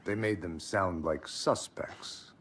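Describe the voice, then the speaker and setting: A man's voice: low voice, slow pace, a bit bright. An actor playing a dry, terse male FBI agent in a game cutscene.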